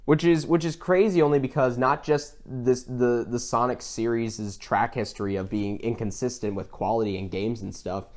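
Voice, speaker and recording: A man's voice: medium pitch, fairly even intonation, normal speed, few pauses, darkish tone. A man co-hosting an enthusiastic, casual discussion about films, opinionated and stressing his points with repetition. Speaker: podcast co-host.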